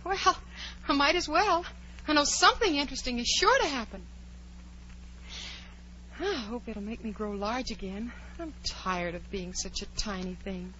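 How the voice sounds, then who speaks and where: A woman's voice: on the high side, moderate pace, bright, forceful tone. A woman playing a curious, chatty, plucky young girl who wonders aloud in a 1940s radio drama. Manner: wondering aloud, exclaiming, polite. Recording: dull, hissy 1940s radio recording.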